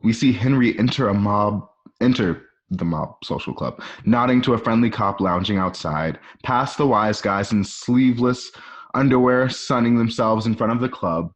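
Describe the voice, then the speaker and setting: A man's voice: on the low side, normal speed. A man reading screenplay stage directions aloud as the narrator of a radio play. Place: at home.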